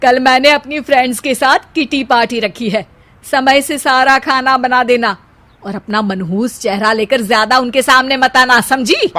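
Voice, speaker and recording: Woman doing a nasty older cartoon mother-in-law, really high voice, taunting and melodramatic. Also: moderate pace, pushed and bright. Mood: bossy. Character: spiteful, haughty, nagging older mother-in-law. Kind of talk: Hindi family cartoon dubbing.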